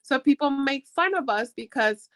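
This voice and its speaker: A woman's voice: high voice, animated, moderate pace, a bit bright. A woman from Mexico whose first language is Spanish, talking casually and encouragingly in a workshop. She talks in a chatty way and often restarts her sentences.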